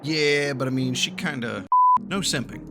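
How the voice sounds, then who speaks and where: A man's voice: mid-range voice, normal speed, vocal fry, loud music behind the voice. A man giving casual, reactive, joking commentary as he plays a video game. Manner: reactive, jokey. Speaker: gaming YouTuber. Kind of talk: casual let's-play commentary.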